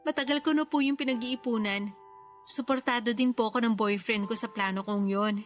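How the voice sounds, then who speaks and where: A woman's voice: a little high, fairly even intonation, brisk, faint music behind, close to an everyday voice. A woman playing a respectful, ambitious daughter in her twenties, polite and eager, in a radio drama. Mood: eager, determined. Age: young adult. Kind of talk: Tagalog radio drama acting.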